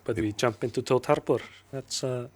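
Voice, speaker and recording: A man's voice: medium pitch, steady tone, normal speed, darkish tone. Casual male co-commentator. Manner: casual, hesitant with fillers. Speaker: esports co-commentator. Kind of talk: live game commentary.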